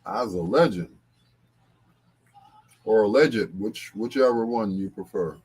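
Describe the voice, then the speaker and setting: A man's voice: lowish voice, measured pace, a little mellow, slight background noise. A man hosting a casual livestream, talking to viewers in a rambling, conversational way. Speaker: livestream host.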